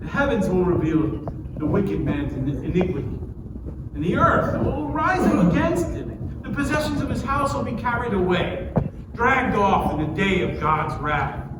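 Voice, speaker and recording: A man's voice: high-pitched, animated, normal speed. A man in a dramatic scripture reading, playing a fiery friend who condemns and warns with emphatic declamation. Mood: condemning. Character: fiery, condemning friend warning of God's wrath. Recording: loud noise, echoey hall, distant mic.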